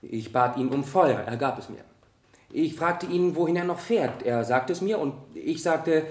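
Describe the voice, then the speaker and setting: A man playing a young student witness in a radio courtroom drama, halting and stammering yet insistent. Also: fairly high pitch, expressive. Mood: earnest. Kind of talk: radio play courtroom dialogue. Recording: roomy 1970 radio drama studio.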